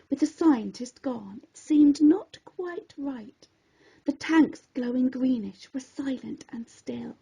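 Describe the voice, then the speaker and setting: A woman reading a rhyming bedtime story in an expressive, theatrical way, on a slightly dull recording. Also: high-pitched. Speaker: storybook reader.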